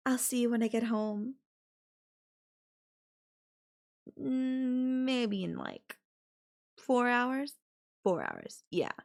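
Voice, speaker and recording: A woman's voice: a little high, very animated, slow pace, vocal fry, heavily acted. A woman voicing a possessive, sweetly menacing yandere girlfriend in an intimate audio roleplay, coaxing the listener with affection and veiled threats. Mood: casual, teasing.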